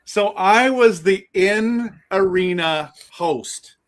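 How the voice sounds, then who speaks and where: A man hosting a casual interview, talking in an excitable, rambling way with many restarts. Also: high-pitched, very slow. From Canada. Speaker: host.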